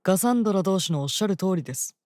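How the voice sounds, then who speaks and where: A woman's voice: mid-range voice, expressive, racing, a little mellow, no room sound, studio quality, lightly acted. A woman playing a stern senior temple seer in a fantasy drama, lecturing in an articulate, cutting manner. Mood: cool disapproval. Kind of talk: dramatic scripted voice acting.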